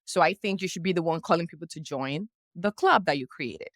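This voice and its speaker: Lively woman, dry close sound. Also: lowish voice, brisk, no echo. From Nigeria. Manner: lively, animated storyteller who acts out past dialogue. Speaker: guest, publicist and coach. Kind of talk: casual storytelling interview.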